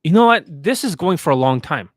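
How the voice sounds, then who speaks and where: A man's voice: on the high side, expressive, fairly quick, dry recording, studio quality. A man speaking as a dating coach on a livestream, answering questions in a blunt, instructional way with frequent rhetorical check-ins.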